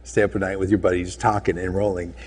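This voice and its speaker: A man with a very deep voice chats as a talk show guest, laid-back and meandering, trailing off often. Faint ambience sits behind him. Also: flat delivery, quick pace, a little mellow, a little hiss or hum. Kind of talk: casual chat.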